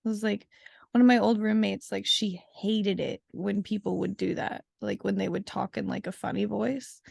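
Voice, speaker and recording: A woman's voice: medium pitch, steady tone, normal speed, creaky, darkish tone, breathy edge, dry recording, clean studio sound. A young woman co-hosting a podcast in casual chat, chatty and laughing often, leaning on "like" fillers. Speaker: podcast co-host.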